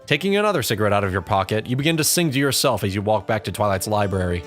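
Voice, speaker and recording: Animated male story narrator. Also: on the low side, racing, faint music behind. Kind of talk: scripted second-person story narration.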